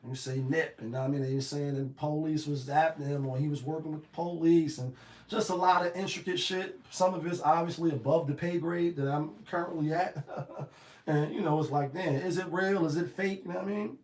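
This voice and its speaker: A man with a slightly raspy voice giving a casual, rambling motivational talk full of slang and "you know what I mean.". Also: fairly high pitch, steady tone, a little fast, a little mellow, reverberant, poor audio. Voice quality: a little raspy. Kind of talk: casual motivational monologue to camera.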